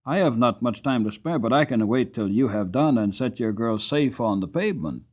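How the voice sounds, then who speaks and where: A kindly older gentleman from a storybook, acted out by a male narrator. He speaks courteously yet with firm decision, his pitch moving expressively. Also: lively intonation, normal speed, husky. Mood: kindly insistent.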